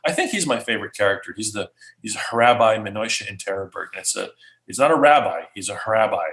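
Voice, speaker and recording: A man, a guest speaker, answering questions casually in an animated way, restarting often. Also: lowish voice, a little fast. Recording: roomy echo.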